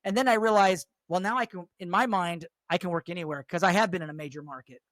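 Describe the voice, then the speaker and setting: A middle-aged man, a radio host, chatting casually and telling stories with a higher voice and lots of restarts. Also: fast, fairly bright tone, dry recording, studio quality. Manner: chatty, storytelling, frequent restarts. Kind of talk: casual chat.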